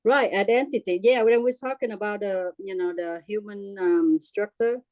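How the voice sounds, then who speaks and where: A middle-aged woman with a Vietnamese accent speaks in a mellow, darker tone, close and dry with little room sound. She talks haltingly, stacking fillers and restarts, and breaks easily into laughter. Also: medium pitch, fairly even intonation, no room sound. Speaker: group member sharing her experience. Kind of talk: casual personal sharing.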